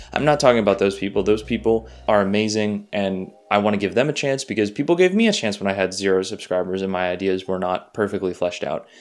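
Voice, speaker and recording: A man giving informal, opinionated video commentary in a conversational way, repeating phrases to press his points. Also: lowish voice. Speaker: YouTuber, analog horror commentator.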